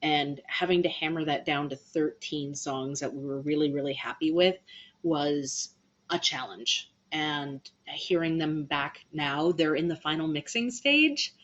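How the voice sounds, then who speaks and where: A woman hosting a casual livestream, chatting to viewers in an animated, playful way, on dull lo-fi audio. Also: low-pitched, a little slow. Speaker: livestream host and band musician.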